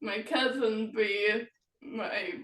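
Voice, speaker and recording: A woman chatting casually as a host and author, speaking in halting, broken phrases with pauses. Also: a little high, steady tone, fairly bright tone. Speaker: host, author of historical novels. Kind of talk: casual chat about writing. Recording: roomy echo, distant from the mic.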